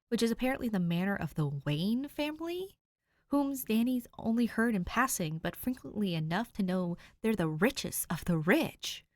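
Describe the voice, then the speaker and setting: Dry, expressive woman reading fiction. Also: lowish voice, no echo. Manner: expressive, chatty and excitable when talking to listeners. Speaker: fan fiction audiobook reader. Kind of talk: reading fiction aloud, then casual chat.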